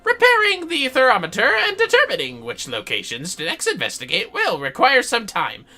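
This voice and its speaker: A streamer putting on a pompous, animated male inventor. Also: medium pitch, moderate pace, faint music behind, voice pushed up, heavily acted. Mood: haughty, dismissive. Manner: haughty, dismissive, florid. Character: pompous, self-important male inventor in a fantasy game. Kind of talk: theatrical read-out of game dialogue.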